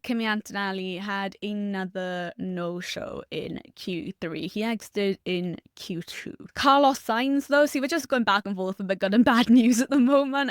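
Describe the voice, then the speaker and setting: A woman hosting a solo race review show, chatting candidly and laughing now and then, her voice a little croaky from a cough. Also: mid-range voice, dry recording, clean studio sound. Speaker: motorsport channel host.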